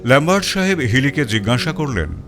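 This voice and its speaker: A man narrating a detective story in the first person, in an expressive, dramatic reading style. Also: medium pitch, a little fast. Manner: expressive, rising and falling. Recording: faint music bed.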